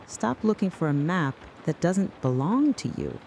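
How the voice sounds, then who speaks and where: A narrator delivering a scripted, dramatic late-night soliloquy in a deliberate, brooding way, speaking straight to the listener. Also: medium pitch, sing-song, a little mellow, a little hiss or hum. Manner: slow, deliberate, brooding second-person address.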